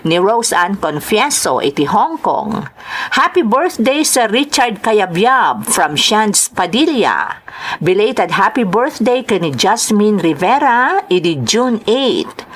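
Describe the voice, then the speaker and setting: A woman narrates a scripted drama program in an Ilocano accent. Her mid-pitched voice rises and falls freely as she reads out announcements and letters as host. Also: sing-song, slow pace. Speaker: host and narrator of a radio-style drama show.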